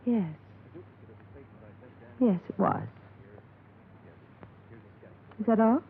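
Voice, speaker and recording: An aloof, bored radio-drama widow. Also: female voice, on the high side, slow, creaky, phone-quality sound, a little hiss or hum. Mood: cold, impatient. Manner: aloof, curt, impatient. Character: cool, bored, evasive wealthy widow. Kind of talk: 1950s radio drama acting. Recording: thin, band-limited old radio recording.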